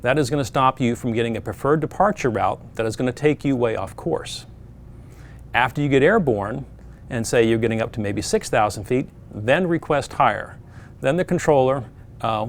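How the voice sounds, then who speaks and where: A middle-aged man, an air traffic controller, giving plain practical advice to pilots with frequent fillers. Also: mid-range voice. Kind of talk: explaining procedures to pilots.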